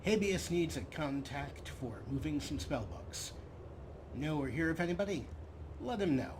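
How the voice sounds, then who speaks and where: Man narrating over loud noise. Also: a little high, lively intonation, normal speed. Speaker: let's play host. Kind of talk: let's play commentary with explanations. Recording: loud background noise and game ambience.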